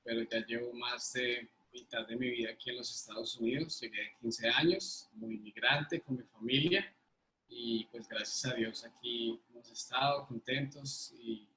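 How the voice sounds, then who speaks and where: A man in his thirties with a Colombian accent, telling personal stories casually and haltingly, often repeating words. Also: medium pitch, fairly even intonation, pushed and bright, slight background noise. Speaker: interview guest. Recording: roomy, echoing sound.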